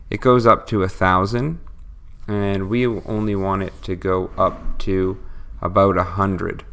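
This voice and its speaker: Gravelly-voiced man explaining a circuit. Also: low voice, a bit bright. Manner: patient, explanatory, thinks aloud. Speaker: electronics instructor. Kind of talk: step-by-step tutorial.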